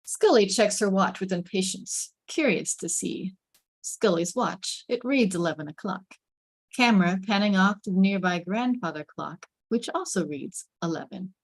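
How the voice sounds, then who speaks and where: A woman's voice: mid-range voice, expressive, normal speed, slightly rough, dry recording. A woman reading stage directions as dramatic scripted narration, with suspenseful, emphatic phrasing.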